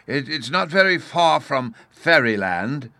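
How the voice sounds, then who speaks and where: A man's voice: medium pitch, very animated, measured pace, pushed and bright, no room sound, voice pushed up, exaggerated voice. A man voicing a polite, absent-minded old professor in a storybook, courteous, stammering and enthusiastic. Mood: polite, hesitant.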